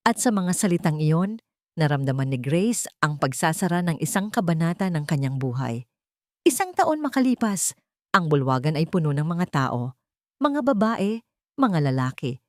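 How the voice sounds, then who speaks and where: A woman's voice: low voice, no echo, clean studio sound. A woman narrating a scripted audio drama in a solemn, sentimental storytelling manner.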